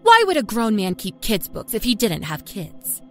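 A woman playing a self-satisfied small-town liar from Kentucky, telling her dark story in a folksy, confiding way. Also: mid-range voice, wildly swooping pitch, normal speed. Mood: smug, chatty. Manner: folksy, chatty, smugly confiding. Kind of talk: first-person dark storytelling. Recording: music under the narration.

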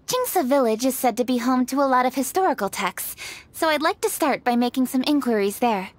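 High-voiced, poised young noblewoman. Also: expressive, a little fast, dry recording. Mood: composed, purposeful. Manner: formal, articulate, decisive. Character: poised, dutiful young noblewoman in a fantasy game. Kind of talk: video game acting.

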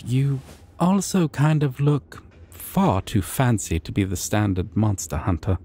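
Guy doing a shy dorky dragon for fantasy asmr, soft n caring, slow talker, bit of background noise. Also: fairly low pitch, gravelly, heavily acted. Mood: curious, shyly teasing. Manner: soft-spoken, hesitant, rambling, tender. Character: shy, gentle, dorky adult male dragon caring for a stranger.

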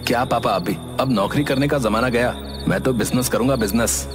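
A man's voice: mid-range voice, brisk, close to an everyday voice. A man playing an eager young village man with business dreams in a cartoon story. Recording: music behind the voice.

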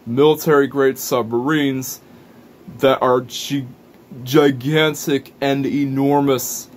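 A young man chats straight to a webcam in a small cluttered room, explaining things in a loose, rambling way. He stops and restarts his sentences as he goes. His voice sits at an ordinary middle pitch, with a faint hum of room noise behind it. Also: fairly even intonation, slow pace. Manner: rambling, self-correcting, explanatory. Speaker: racing video reviewer. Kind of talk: casual vlog commentary. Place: small indoor room full of toy shelves. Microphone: camera or webcam in front of him.

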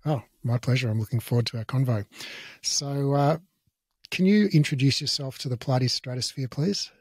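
Man, 40s, podcast host, studio mic, husky, dry close sound. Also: mid-range voice, normal speed, no room sound. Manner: relaxed, laughs easily, hesitant fillers. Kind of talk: casual podcast interview. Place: home office with bookshelves. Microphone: large studio mic on boom arm.

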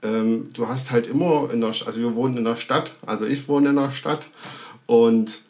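A man in his thirties with a medium-pitched voice. He talks casually, as in a remote interview, and feels his way through sentences haltingly as he thinks aloud. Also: darkish tone. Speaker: sound recordist and film editor. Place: indoor room, video call. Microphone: video call.

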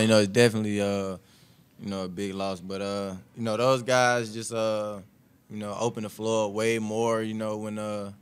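A young man, a college basketball player, answering press questions after a game, halting and heavy on "uh" and "you know". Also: fairly low pitch, clear, resonant tone. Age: young adult. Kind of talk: postgame press conference answers. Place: press room, seated at table. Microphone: table mic.

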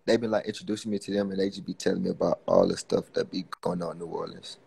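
Young man, from Houston, low, gravelly, laid-back, video call. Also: big pitch swings, fast talker, warm tone. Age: young adult. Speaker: livestream guest. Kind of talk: casual livestream chat. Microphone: phone on video call.

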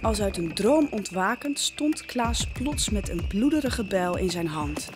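Female narrator, very unhurried, suspenseful storytelling, medium pitch, faint music behind. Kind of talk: scripted dramatic story narration.

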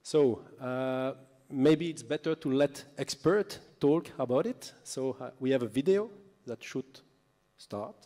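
A man in his thirties gives a conference presentation in a mellow, soft-edged voice. His delivery is hesitant and stop-start, and the hall adds a long reverb. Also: mid-range voice, unhurried. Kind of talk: semi-scripted presentation. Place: indoor hall, panel table. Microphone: table microphone on stand.

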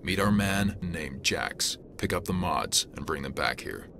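An actor playing a gruff, pragmatic male alien detective in a video game cutscene, blunt and businesslike. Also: deep voice, lively intonation, a little slow, music in the background, full character voice. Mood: stern. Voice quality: gravelly. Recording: game audio with loud score.

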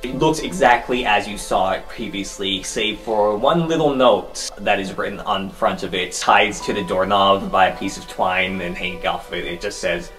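A man's voice: fairly low pitch, moderate pace, few pauses, a bit bright, faint music behind. A man acting as game master, narrating a tabletop roleplaying game in a casual style.

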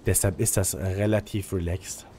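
A man's voice: low-pitched, fairly even intonation, moderate pace, dark tone, a little hiss or hum. Slightly congested man doing casual gameplay commentary. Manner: colloquial, peppered with English gaming slang and tag questions. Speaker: gaming guide creator and streamer. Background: music under the commentary.

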